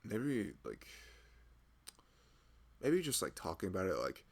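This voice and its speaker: A man's voice: low-pitched, huge pitch swings, takes it slowly, creaky, slightly rough, exaggerated voice. A man playing a loving, easygoing husband and nervous expectant father in an intimate ASMR roleplay, rambling casually and hesitantly. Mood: accepting, casual. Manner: rambling, self-amused, hesitant, often chuckling.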